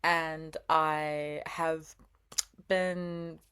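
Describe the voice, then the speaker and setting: Young woman, sixteen, from New Zealand and Palestine, lowish pitch, informal, giggly, self-deprecating, interview guest. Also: flat delivery, extremely slow, fairly bright tone, slight background noise. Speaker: podcast guest. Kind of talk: casual interview chat.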